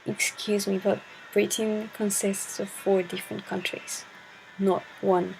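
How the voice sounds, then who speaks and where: Shy teenage girl from Chile, native Spanish speaker, with a creaky, monotone delivery. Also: medium pitch, unhurried, slight background noise, poor audio. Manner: hesitant, shy, searching for words. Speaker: vlogger. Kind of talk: casual talk to camera.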